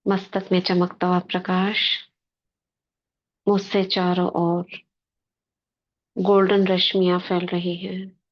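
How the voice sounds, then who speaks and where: A woman leading a guided spiritual meditation, speaking in short, measured devotional phrases with pauses between them. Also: on the low side, completely flat delivery, highs cut off. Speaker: meditation leader.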